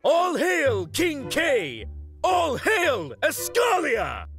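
An actor playing an earnest, loyal knight in a video game, formal, noble and measured. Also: male voice, very high voice, wildly swooping pitch, creaky, loud music behind the voice, full character voice. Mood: proud proclamation. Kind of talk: video game character acting.

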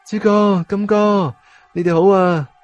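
A man's voice: high-pitched, steady tone, measured pace, no echo, soft background music, pitched above the performer's own voice. A man with a Hong Kong Cantonese accent voicing a falsely sweet, effusively polite woman in an audiobook. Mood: falsely friendly.

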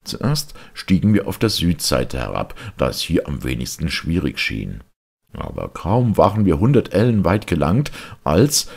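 A man reading a literary audiobook as a narrator, with measured, dramatic storytelling delivery. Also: extremely low pitch, creaky, a little mellow, breathy edge. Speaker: audiobook reader.

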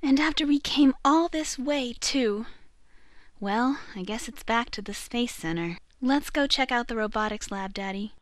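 A woman voicing a perky, inquisitive teenage girl with an expressive, girlish delivery in a game fan dub. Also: a little high, a little slow, no echo, studio quality. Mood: curious.

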